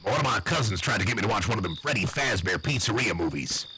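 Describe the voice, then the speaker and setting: A man's voice: fairly low pitch, fast talker, slight background noise, low-quality recording. A man voicing a blustery, nervous backwoods uncle with an American accent, rambling and swearing in an improvised comic monologue. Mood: boastful, rambling. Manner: rambling, folksy, profane, excitable.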